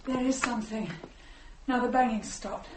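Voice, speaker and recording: An actress playing an eerily sensitive, haunted woman in a supernatural radio drama, halting and intense. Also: a little high, steady tone, slight background noise, lightly acted, low-quality recording. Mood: eerie, hushed.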